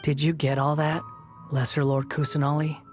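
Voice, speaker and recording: A woman voicing a proud, brooding, sardonic young male wanderer in a video game, speaking in a cool, deliberate way. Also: low voice, flat delivery, music in the background, lightly acted. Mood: cool, guarded. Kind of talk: dramatic video game voice acting.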